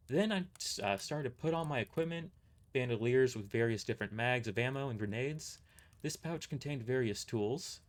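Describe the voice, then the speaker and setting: A man narrating a first-person story aloud, reading a little haltingly and stumbling over words. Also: medium pitch.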